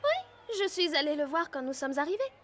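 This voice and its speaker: Headstrong young girl rider, cartoon. Very high pitch, exclaiming, over music. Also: sing-song, normal speed. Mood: confident. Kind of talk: cartoon dub. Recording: loud background music.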